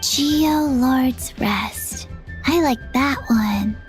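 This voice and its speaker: A woman voicing a small, sleepy child in a video game, with a drawn-out, dreamy, deadpan delivery. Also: high voice, soft background music. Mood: dreamy, flat. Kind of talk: animated video game voice acting.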